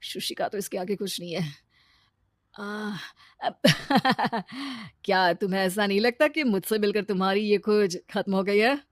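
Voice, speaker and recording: A woman voicing an arrogant, fickle husband, boastful and wheedling, in an animated story. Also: medium pitch, unhurried, no echo, studio quality, close to an everyday voice. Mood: smitten. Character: arrogant, fickle, money-proud husband, easily smitten.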